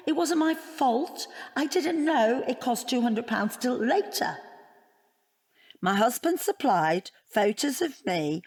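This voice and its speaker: An actress playing a wounded wife in an audio drama, rambling in a wry, deadpan and digressive way. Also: female voice, fairly high pitch, breathy edge, exaggerated voice. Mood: defensive, hurt. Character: wounded, rambling wife dissecting her unwanted portrait gift. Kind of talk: audio drama monologue and dialogue.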